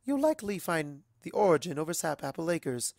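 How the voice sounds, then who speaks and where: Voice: mid-range voice, big pitch swings, a little fast, darkish tone, no echo, studio quality, voice pushed up. A poised cartoon pony lady of society, played by a storyteller. Her delivery is genteel, composed and a little posh. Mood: unruffled. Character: refined, poised female pony socialite.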